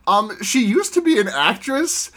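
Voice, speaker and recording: Casting: male podcast co-host, high voice, rambling comedic delivery with frequent restarts. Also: sing-song, a little slow, a bit bright. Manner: rambling, many restarts, laughs often. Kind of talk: casual comedic chat.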